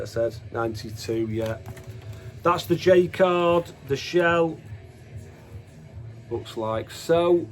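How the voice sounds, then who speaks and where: Slow-paced man, casual show-and-tell. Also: mid-range voice, a little mellow. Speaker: host of a music collection video.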